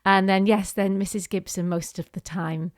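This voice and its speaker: A woman's voice: mid-range voice, steady tone, normal speed. A woman from Wales with a Welsh accent, chatting as a guest in an interview, laughing often and restarting her sentences. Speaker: guest, singer and actress. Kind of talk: casual conversational interview.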